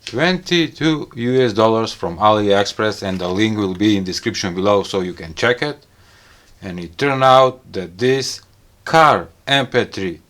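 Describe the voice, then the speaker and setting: A man hosting a casual hands-on product review, speaking English with non-native phrasing. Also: fairly low pitch. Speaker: host of a gadget review channel. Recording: loud music behind the voice.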